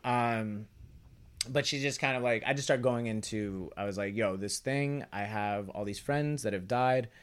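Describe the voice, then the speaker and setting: A man's voice: mid-range voice, normal speed, smooth. A young man, a comedian, telling a rambling, wry story in casual podcast chat. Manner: rambling, wry, trails off into quoted asides. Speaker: comedian guest.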